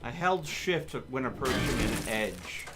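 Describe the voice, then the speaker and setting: A man's voice: medium pitch, big pitch swings, measured pace, very noisy. A man hosting a gaming livestream, chatting casually as he plays and sounding mildly exasperated, with some light swearing. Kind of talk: casual gameplay stream chat.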